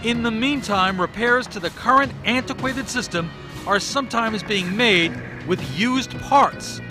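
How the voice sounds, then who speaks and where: A man's voice: high-pitched, unhurried, music in the background. An American man working as a television reporter, reading scripted investigative news narration.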